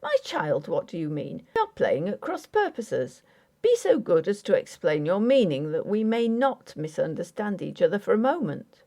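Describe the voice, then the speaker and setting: A woman's voice: fairly low pitch, big pitch swings, normal speed, creaky, mellow. A woman voicing an earnest but impatient Victorian husband in an audiobook, formal, placating and patronizing. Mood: concerned. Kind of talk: dramatic audiobook character acting.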